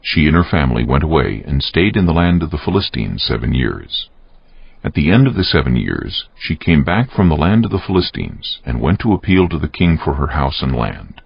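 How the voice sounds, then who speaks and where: Male narrator, dramatic scripture reading. Extremely low, animated delivery, slightly dull recording. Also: moderate pace, vocal fry, slightly rough, dry recording. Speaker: narrator of a dramatized Bible audiobook. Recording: dull, muffled audio.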